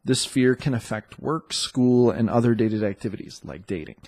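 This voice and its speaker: A man hosting a dating podcast in a loose, chatty way, sounding congested and often pausing on fillers. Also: mid-range voice, animated, a little mellow. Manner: loose and chatty, with many fillers and self-corrections. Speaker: podcast host and dating coach. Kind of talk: casual solo podcast talk.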